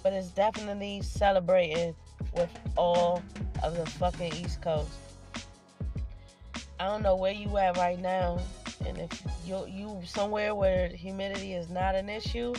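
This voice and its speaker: A stuffy-nosed podcast host rambles on in loose, profane, joking talk while sitting in a parked car at night. The voice sits at a middling pitch. Music plays clearly behind the speech throughout. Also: steady tone, measured pace. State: stuffy nose. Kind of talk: casual solo podcast monologue.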